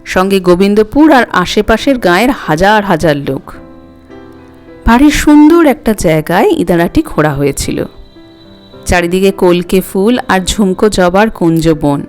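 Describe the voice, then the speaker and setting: A woman's voice: medium pitch, expressive, normal speed, a little mellow, soft background music. A woman reading a scripted story aloud as an audiobook narrator.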